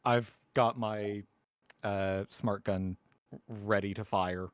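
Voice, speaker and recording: Very deep-voiced man at a tabletop game. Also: fairly even intonation, takes it slowly, clear, resonant tone, dry recording. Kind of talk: casual in-game chat.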